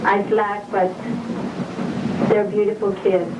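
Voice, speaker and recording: Halting woman on old tape. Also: mid-range voice, flat delivery, dull audio. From Philippines. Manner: halting, short answers with soft laughs. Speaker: interviewee. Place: room inside a residential compound building. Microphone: camcorder microphone some distance away. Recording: distant, echoey, hissy old videotape.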